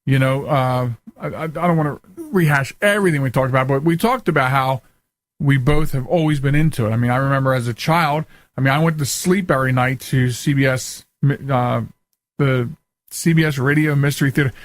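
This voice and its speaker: A man's voice: mid-range voice, fairly quick, dry recording. A middle-aged man hosting a talk show, interviewing casually and often rambling and interrupting himself.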